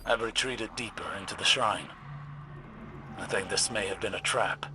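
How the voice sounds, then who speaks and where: A man playing an intense, weary older warlock in a video game, with a grave and deliberate theatrical delivery. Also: on the low side, background noise, close to an everyday voice. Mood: grim, resigned. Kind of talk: dramatic video game dialogue and narration. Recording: loud music over the voice. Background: loud music.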